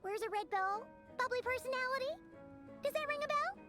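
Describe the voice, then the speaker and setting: A woman voicing a tiny, childlike, blunt fairy companion in a video game, squeaky and chirpy. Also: a little fast, sharp tone, soft background music. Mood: chirpy, helpful.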